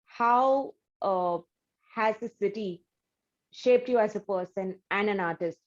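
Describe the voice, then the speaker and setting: A woman's voice: medium pitch, slow pace, dull audio. A woman hosting an interview, asking winding questions with fillers. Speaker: interview host.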